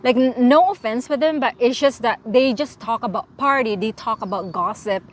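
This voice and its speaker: A woman's voice: on the high side, moderate pace, a little hiss or hum. A young woman from the Philippines with a Filipino accent, answering interview questions frankly and earnestly, often restarting her words. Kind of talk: casual interview.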